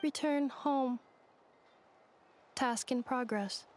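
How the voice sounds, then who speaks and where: A woman's voice: high-pitched, extremely slow, slight background noise. A woman voicing an emotionless robot messenger in a video game, speaking in clipped, detached fragments. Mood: flat, mechanical. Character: emotionless female robot messenger with missing memories.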